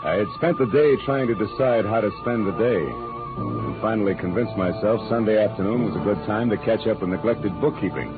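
A man's voice: lowish voice, animated, a little fast, close to an everyday voice. An American man playing a wry, world-weary private detective in a classic radio noir drama, with clipped delivery. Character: wry, hardboiled male private detective narrating his case. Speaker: lead actor. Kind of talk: 1940s-50s American radio noir acting. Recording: muffled old radio recording with music.

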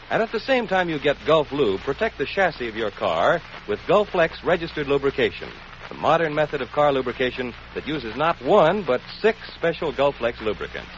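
Animated male American radio pitchman. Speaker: commercial announcer. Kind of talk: scripted 1940s radio advertising read. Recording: muffled, hissy old 1940s radio broadcast.

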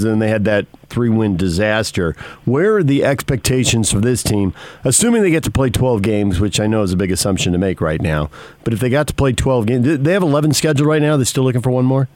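A man's voice: medium pitch, darkish tone, no room sound. A man hosting a sports radio show, laying out background and putting questions to a guest.

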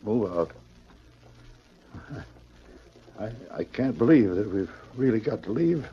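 An actor playing a proud, sentimental old rancher, easily riled, with folksy, halting frontier talk in 1940s radio style. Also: male voice, lowish voice, lively intonation, a little hiss or hum, natural voice. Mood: downcast. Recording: muffled old radio recording.

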